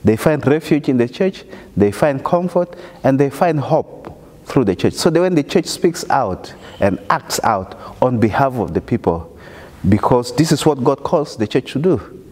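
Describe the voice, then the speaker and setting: Middle-aged church leader from South Sudan, earnest and measured, clip-on mic, reverberant hall. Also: male voice, medium pitch, lively intonation, darkish tone. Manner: earnest, measured, emphatic pauses. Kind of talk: interview testimony. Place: indoor chapel with wooden wall.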